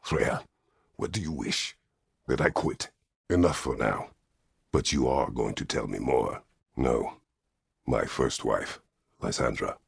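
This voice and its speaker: An actor playing a stern, weary, middle-aged Greek war god in a video game, speaking tersely and formally with a gravelly, husky voice. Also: male voice, rumbling low, slow pace, long pauses, no room sound, clean studio sound, full character voice. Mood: firm, demanding. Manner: terse, grave, formal phrasing without contractions. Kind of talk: dramatic video game voice acting.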